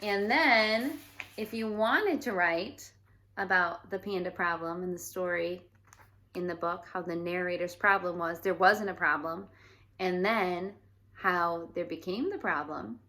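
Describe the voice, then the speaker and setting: A woman teacher speaking to children, reading aloud and giving instructions in a deliberate, expressive way. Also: on the low side. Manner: deliberate, expressive storytelling delivery.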